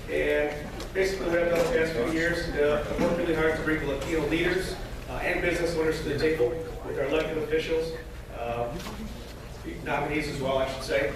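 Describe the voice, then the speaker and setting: A man's voice: fairly high pitch, steady tone, a crowd in the background. A man losing his voice, giving a short campaign speech with many fillers. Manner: earnest, halting with fillers. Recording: echoey hall from far off, noisy.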